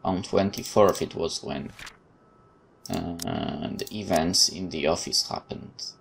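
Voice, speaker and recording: A man hosting a let's play, reading narration aloud in a measured, dramatic way with casual commentary. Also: sits low, gravelly, slight background noise.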